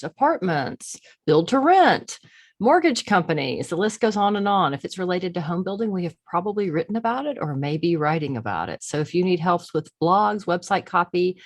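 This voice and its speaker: A woman with a Southern US accent co-hosting a webinar over a video call, upbeat and lively. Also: fairly low pitch, no echo, studio quality. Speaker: marketing firm founder, webinar co-host.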